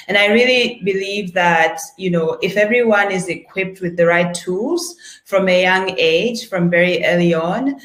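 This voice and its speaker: A woman's voice: on the low side, fairly bright tone. A woman speaking on a video-call panel, earnest and heartfelt. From Tanzania. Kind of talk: personal panel talk over a video call. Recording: roomy echo on a video call.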